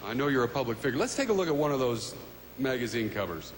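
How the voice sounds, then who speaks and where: A man's voice: mid-range voice, very animated, fast, a little hiss or hum. A man hosting a beauty pageant on a large stage, with showy, upbeat presenter patter into a handheld mic. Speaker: pageant host. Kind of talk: live broadcast hosting.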